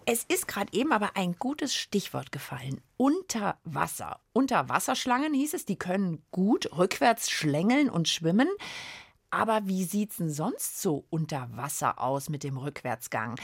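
Animated, slightly husky woman. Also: mid-range voice, moderate pace. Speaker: host of a children's science podcast. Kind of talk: playful moderated chat.

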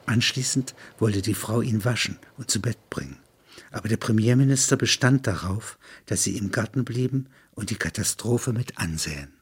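A man reading scripted literary narration in a husky voice. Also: lowish voice, moderate pace.

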